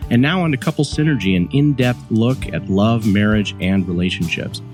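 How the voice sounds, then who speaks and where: A man's voice: fairly low pitch, moderate pace, darkish tone, faint music behind. A man hosting a podcast as a couples therapist, reading a scripted intro and then chatting with guests in a friendly, easy way with light laughter.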